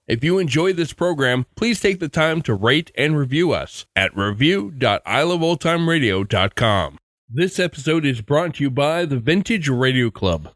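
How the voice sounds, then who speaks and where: Animated male podcast host. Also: mid-range voice, no echo. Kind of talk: scripted podcast introduction.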